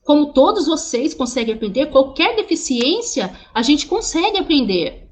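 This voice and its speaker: Halting woman interpreter, higher voice. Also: big pitch swings. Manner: halting, many restarts and repeated words. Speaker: sign language interpreter voicing a deaf teacher. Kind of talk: simultaneous interpretation into speech.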